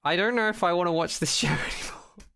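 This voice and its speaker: A man with a bright, clean voice at an ordinary pitch chats casually as a podcast co-host. He is skeptical and opinionated, and he often doubles back to reword his point. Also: fast, vocal fry, smooth. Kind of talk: casual unscripted podcast chat.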